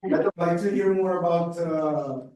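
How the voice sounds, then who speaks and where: A man's voice: fairly high pitch, a little slow, mellow. A man in the audience asking a conference question, hesitant and full of fillers. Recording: echoey room, from far off.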